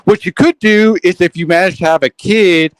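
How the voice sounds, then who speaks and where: A man hosting a casual online group chat, talking fast and often repeating his opening words. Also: high voice, clean tone, dry recording. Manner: quick, repeats starting words, lots of "um" and "like".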